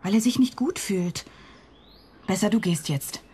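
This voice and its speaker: A woman's voice: mid-range voice, measured pace, warm tone, slight background noise, close to an everyday voice. An actress in a German radio play voicing a discontented, reproachful middle-aged wife who is scornful of her husband. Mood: intimate, hushed.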